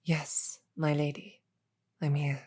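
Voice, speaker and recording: A soft-spoken demoness archer acted by a woman in an audio drama, deferential and quietly affectionate. Her voice is low and mellow, with a hushed, gentle delivery at a very slow, measured pace. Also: completely flat delivery, husky, exaggerated voice.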